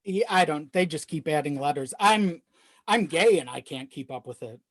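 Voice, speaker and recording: A man's voice: on the high side, lively intonation, moderate pace, dry recording. A man chatting casually as a podcast guest, joking in a wry, hesitant way. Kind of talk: casual comedic chat.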